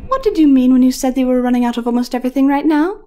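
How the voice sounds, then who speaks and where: A woman voicing a mysterious, imperious mare with a smooth voice and a cool, condescending, commanding manner. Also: high voice, lively intonation, rapid-fire, mellow, highs cut off, soft background music, pitched above the performer's own voice. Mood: mocking. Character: mysterious, imperious unicorn mare, mocking and sinister.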